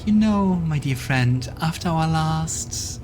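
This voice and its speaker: A man's voice: a little high, animated, slow, pitched above the performer's own voice. A man voicing a curious, playful female demon in a game playthrough, with music in the background.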